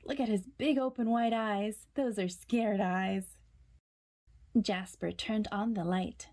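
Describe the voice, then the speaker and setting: A woman storyteller reading a children's picture book aloud in a dramatic, suspenseful way, sometimes hushing her words. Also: mid-range voice, steady tone, slow.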